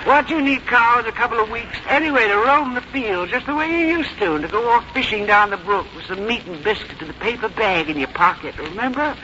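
A woman's voice: on the high side, animated, a little fast, hardly any pauses, bright, forceful tone. An actress playing a stubborn, plain-spoken elderly American mother with a blunt, folksy, complaining manner in a 1950s radio drama. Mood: grumbling, stubborn. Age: older. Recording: noisy, muffled 1951 radio recording.

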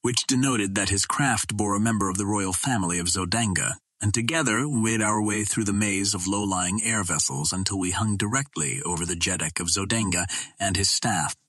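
A man's voice: fairly low pitch, moderate pace, fairly bright tone, no room sound. A man reading a first-person adventure novel aloud as scripted audiobook narration. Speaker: audiobook reader.

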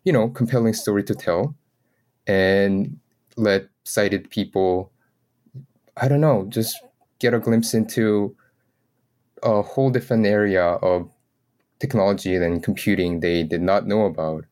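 A man's voice: lowish voice, unhurried, long pauses, darkish tone. A man from South Korea speaking as an interview guest, conversational, with hesitant, tentative phrasing.

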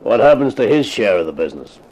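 An actor playing a suspicious, sarcastic police lieutenant who probes and insinuates, in a radio crime drama. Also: male voice, on the low side, sing-song, fast, a little mellow, muffled recording. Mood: suspicious, needling. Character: suspicious, needling hard-boiled male police lieutenant. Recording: noisy old 1950s radio recording.

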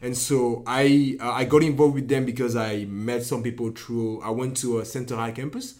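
A man's voice: medium pitch, steady tone, normal speed, a little mellow. A man, chatting casually as an interview guest in loose slang, often restarting his sentences. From Cameroon. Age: early 20s. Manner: loose slangy talk with lots of "like", "yo" and "bro". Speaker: guest, dance instructor.

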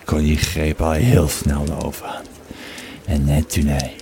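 A man playing an old Roman legionary veteran in an audio play, telling a grim memory in a halting, dramatic way. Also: very deep voice, heavily acted. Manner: slow, halting, dramatic storyteller. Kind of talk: in-character storytelling in an audio play.

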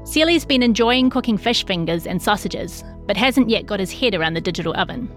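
A woman's voice: mid-range voice, lively intonation, brisk. Kiwi woman journalist reading a feature story, bit of music behind her. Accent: New Zealand. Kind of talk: scripted narration.

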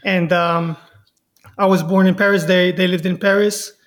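A man's voice: high voice, little pitch movement, moderate pace, fairly bright tone. A young man, answering interview questions with frequent hesitant ums and uhs. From Israel. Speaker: podcast guest, professional fighter.

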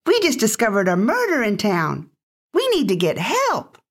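A man's voice: sits high, wildly swooping pitch, normal speed, a bit bright, pitched above the performer's own voice, heavily acted. A man voicing a polite, earnest girl with glasses, speaking urgently.

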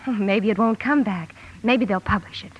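An actress playing a gentle, caring married sister who narrates and acts in a sentimental 1950s radio drama. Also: female voice, medium pitch, moderate pace, a little hiss or hum, lightly acted. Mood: caring, concerned. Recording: dull old radio recording with music.

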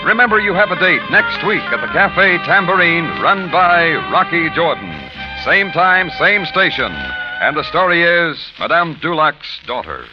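Casting: male American forties radio announcer, fairly high voice, animated pitch, polished sales pitch, loud music behind. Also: moderate pace. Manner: polished, emphatic sales delivery. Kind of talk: 1940s radio commercial and credits announcing. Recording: muffled vintage radio recording, music behind.

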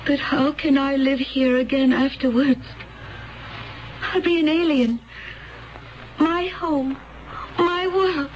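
An actress playing an innocent, gentle young woman of a peaceful world, earnest and wondering, in 1970s radio drama style. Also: sits high, steady tone, takes it slowly, pauses often. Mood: anguished, pleading. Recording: dull, noisy 1970s radio recording.

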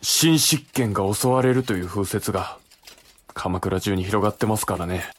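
An actor playing a sensible, cautious young samurai in a period voice drama, explaining politely and earnestly. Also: male voice, fairly low pitch, moderate pace, natural voice. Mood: earnest, cautioning. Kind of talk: Japanese period voice drama acting.

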